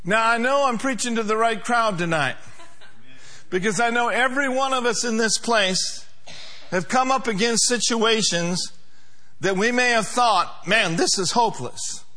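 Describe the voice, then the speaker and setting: Bright high-pitched preaching man. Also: measured pace. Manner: emphatic preaching with call-and-response. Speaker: pastor. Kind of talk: sermon.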